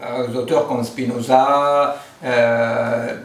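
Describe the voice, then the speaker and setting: A man's voice: medium pitch, little pitch movement, slow pace. A man from Argentina, giving a philosophy seminar lecture, digressive, with many fillers and rhetorical questions. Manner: digressive, frequent fillers and rhetorical "n'est-ce pas". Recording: roomy, distant mic.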